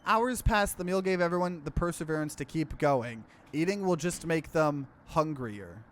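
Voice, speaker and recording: A man's voice: fairly high pitch, animated, normal speed. A man hosting a let's play, casually commenting and reading game text aloud with expression.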